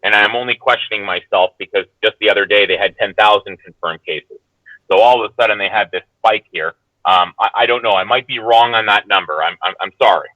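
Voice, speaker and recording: A man giving casual, unscripted commentary as a guest, halting and hesitant, often qualifying what he says. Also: on the low side, bright, forceful tone, dry recording, poor audio.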